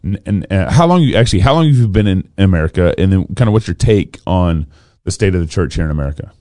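Deep, dark-voiced male host. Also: lively intonation, fast, dry recording, clean studio sound. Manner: encouraging, frequent affirmations. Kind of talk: interviewing a guest.

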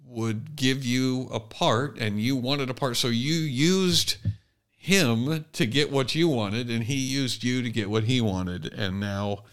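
A man with a mid-pitched voice co-hosts a podcast. He talks in a casual, opinionated way and is blunt, often speaking out imagined quotes as he argues. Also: slow, a bit bright, clean tone. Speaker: podcast co-host.

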